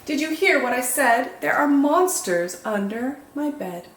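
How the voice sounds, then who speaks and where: A woman reading picture books aloud as a children's storytime host, expressive and deliberate, with drawn-out dramatic emphasis. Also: high voice. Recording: echoey room, faint background noise.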